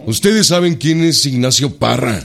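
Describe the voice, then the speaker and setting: An actor playing a rough, folksy Mexican revolutionary leader with a northern Mexican accent, plainspoken and defiant, in a radio drama. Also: male voice, mid-range voice, lively intonation, normal speed, over a noisy crowd. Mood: wry, proud. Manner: colloquial, earthy, swaggering. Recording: studio radio drama with music behind.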